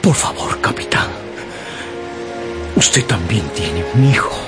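A man's voice: fairly low pitch, animated, takes it slowly. An actor playing a desperate older ship captain begging for help to find his son, in a radio drama. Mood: pleading. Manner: pleading, emotional, voice swinging wildly. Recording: radio drama with music bed. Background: music score.

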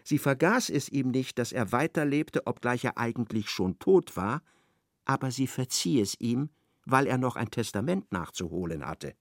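A man narrating a literary radio play in measured, expressive storytelling. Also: medium pitch, no room sound, studio quality.

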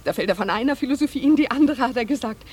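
An actress playing a nagging, prim village wife, chattering and scolding, in a radio drama. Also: female voice, high-pitched, lively intonation, very fast, a little mellow. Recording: clear hiss on old cassette recording.

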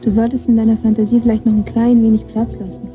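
An actress playing a young aspiring singer, guarded and teasing, in radio drama dialogue. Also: female voice, fairly high pitch, level tone, fast, narrow, tinny audio, faint music behind, lightly acted. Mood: open, teasing. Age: young adult.

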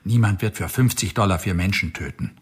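A man's voice: sits low, brisk, breathy edge. An actor playing a determined male state investigator in a radio play, with a grim, firm delivery.

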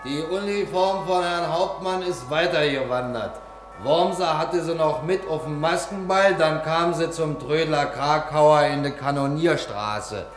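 A man acts a male storyteller in a reverberant old studio, music playing behind him. His fairly high voice delivers scripted 1950s radio narration straight to the listener. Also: a little slow, close to an everyday voice. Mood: narrating. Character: male storyteller linking scenes, addressing listeners.

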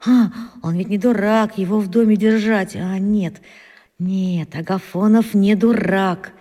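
A woman's voice: medium pitch, fairly even intonation, unhurried, mellow, natural voice. An actress playing a self-important schoolboy detective, muttering his schemes to himself in bursts, in a children's radio play. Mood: scheming. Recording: long reverb, as inner thoughts.

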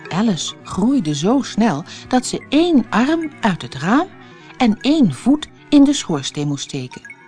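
A woman's voice: a little high, very animated, slow, a little mellow, soft background music. A woman narrating a children's fairy tale as an audiobook, with dramatic, carefully phrased storytelling.

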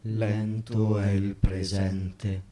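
A low-voiced man tormented by time in a radio play. He recites verse very slowly and rhythmically, almost chanting, with a mellow, shadowed tone. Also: level tone. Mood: weary, brooding. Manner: deliberate, rhythmic, chant-like delivery. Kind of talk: slow poetic radio theatre recitation.

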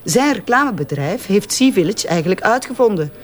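An actress plays a Flemish investigative journalist, a shrewd and self-assured woman in an audio crime drama. Her voice has a middling pitch. She speaks in a knowing, pointed way with a teasing edge. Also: expressive, brisk, close to an everyday voice. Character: shrewd, confident female investigative tabloid journalist. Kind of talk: naturalistic audio drama dialogue.

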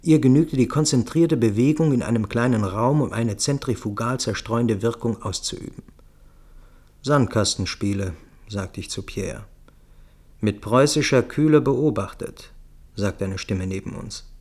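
A man reading scripted literary narration for radio in a measured, precise, observational manner. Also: medium pitch, darkish tone, breathy edge.

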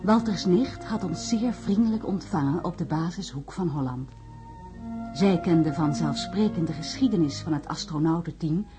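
A woman's voice: mid-range voice, natural voice. An actress playing an earnest, worried woman who narrates and speaks in a Dutch science-fiction radio drama. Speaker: narrator and lead character in a radio play.

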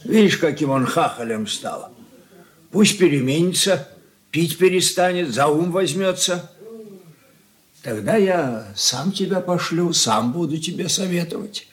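Wounded, admonishing old patriarch, radio drama; slow delivery, slightly high male voice. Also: animated. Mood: hurt, pleading. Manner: blunt, admonishing. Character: stern, wounded old father, patriarch of a merchant family. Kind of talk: stage-style radio drama acting.

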